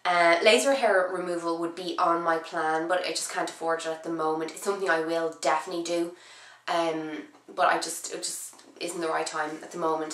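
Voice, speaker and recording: A young woman with a cold, talking casually and at length as a beauty vlogger, her voice husky from being ill, with many ums and restarts. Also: fairly low pitch, a bit bright, roomy sound. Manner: chatty, rambling, frequent "um" and restarts. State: sick, voice husky and low. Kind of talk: casual chat to camera.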